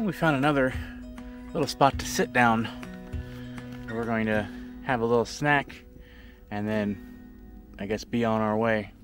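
A man's voice: mid-range voice, measured pace, pauses often, music in the background. A young man narrating a casual hiking vlog outdoors, chatty and joking, filmed on a camera at arm's length. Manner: chatty, joking, relaxed commentary. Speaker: travel vlogger. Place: outdoors on a forest trail. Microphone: action camera at arm's length.

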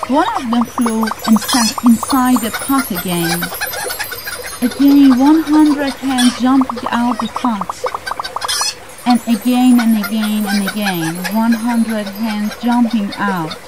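A woman's voice: a little high, slow. A woman in her thirties reading a folk tale aloud as a storyteller. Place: outdoors in a woodland by water. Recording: clear noise of running water outdoors.

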